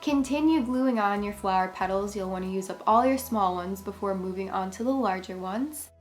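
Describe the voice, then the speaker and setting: A woman's voice: mid-range voice, normal speed, music in the background. A woman hosting a craft tutorial, giving chatty step-by-step instructions, her voice congested and a little worn. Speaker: craft channel host.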